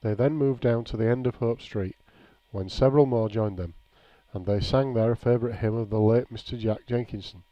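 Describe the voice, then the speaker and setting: A man reading a nostalgic written memoir aloud in a measured, plain storytelling way. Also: medium pitch. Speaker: reader of a written local-history account. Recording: dull, lo-fi, echoey room.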